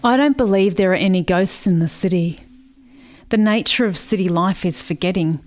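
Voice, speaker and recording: A haunted woman played by an actress tells her story in a slightly low voice. She is solemn and measured, letting pauses linger in a reflective radio-drama monologue full of old grief. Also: darkish tone, a little hiss or hum, close to an everyday voice. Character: haunted, grieving woman recalling a bitter rural childhood. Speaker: actor in a radio play.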